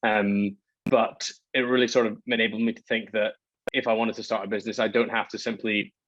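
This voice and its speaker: Flat-voiced Scottish man, low and even. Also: brisk, no echo, lo-fi sound. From Scotland. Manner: hesitant, many filler ums, self-deprecating. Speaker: startup founder guest. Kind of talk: casual interview talk.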